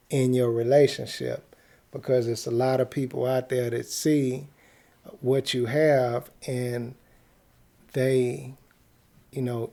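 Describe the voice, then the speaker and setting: A man hosting a radio show, giving casual relationship advice in a halting, reflective way with frequent hesitations and repetitions. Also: mid-range voice, vocal fry, dark tone. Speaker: internet radio show host.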